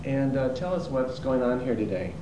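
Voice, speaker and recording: A man's voice: medium pitch, lively intonation, normal speed, noisy background. A man hosting a show, asking friendly, conversational interview questions. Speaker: host. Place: music school rehearsal. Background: loud music.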